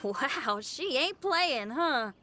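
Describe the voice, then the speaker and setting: Young female rocker, game role: high, bright, bold, colloquial, enthusiastic. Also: expressive, very slow, soft background music, full character voice. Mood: upbeat, bold. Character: spirited young female rock musician, bold and upbeat. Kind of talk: animated video game voice acting.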